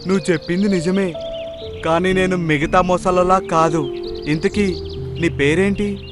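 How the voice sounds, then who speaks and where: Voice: mid-range voice, fairly even intonation, measured pace, over music, natural voice. A man voicing a kind, protective, fatherly crocodile in a cartoon, with a reassuring manner. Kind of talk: cartoon character voice acting.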